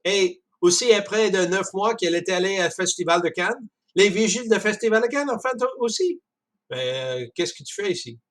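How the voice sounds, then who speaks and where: A man with a Canadian French accent talking casually about a book, halting often with restarts and chuckles. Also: sits high, animated. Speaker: speaker discussing a book. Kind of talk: casual spoken commentary.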